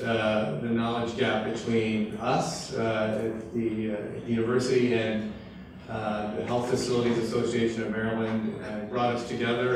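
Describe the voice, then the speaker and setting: A man who directs a fellowship program, introducing a lecture speaker with frequent pauses and fillers. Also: medium pitch. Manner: hesitant, many fillers and restarts. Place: lecture hall. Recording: distant mic with echo and room noise.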